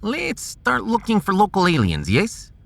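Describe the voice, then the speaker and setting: Excitable high-pitched scientist. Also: male voice, measured pace, creaky, no room sound, exaggerated voice. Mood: wry. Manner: theatrical, exclamatory. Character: excitable, grumbling male scientist, sardonic and fretful. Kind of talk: dramatised sci-fi audio drama.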